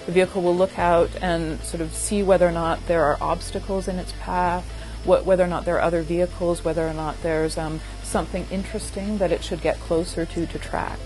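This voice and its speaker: A woman's voice: fairly low pitch, little pitch movement, fairly quick, a little mellow, faint music behind, rough recording. A middle-aged woman, an engineering professor, explaining her work in interview answers. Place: indoor meeting room.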